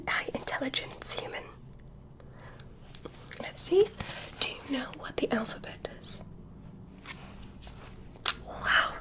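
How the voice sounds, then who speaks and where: A woman playing an alien doctor whispering over a human patient, high lilting voice, soft and delighted. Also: poor audio. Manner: soft, gushing praise, slow and soothing. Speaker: ASMR creator. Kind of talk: whispered ASMR roleplay.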